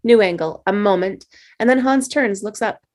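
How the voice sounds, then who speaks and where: Role: narrator. Sex: woman. Style: reading screenplay directions. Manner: steady, plain. Pitch: medium. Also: normal speed. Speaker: stage-direction reader.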